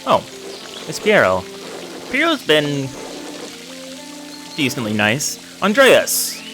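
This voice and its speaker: A man's voice: mid-range voice, animated, normal speed. A man giving casual, self-deprecating commentary as he plays a game and reads it aloud. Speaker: let's play host reading a game aloud. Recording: music under the voice. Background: game music.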